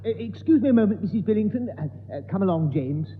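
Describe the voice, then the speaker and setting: High-voiced flustered English gentleman. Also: expressive, quick pace, phone-quality sound. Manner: hesitant, stammering, polite. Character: flustered, timid, prim gentleman, easily embarrassed. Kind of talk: 1960s British radio sitcom acting. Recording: thin, reverberant old radio recording with hiss.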